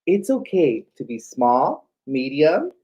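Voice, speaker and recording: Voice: medium pitch, very animated, slow pace, mellow, slight background noise. A drag queen co-hosting a children's story time, reading aloud with playful, campy banter over livestream audio. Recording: slightly dull livestream audio.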